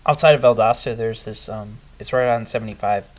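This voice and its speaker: Man in relaxed group conversation. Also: on the low side, fairly quick. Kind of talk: casual chat.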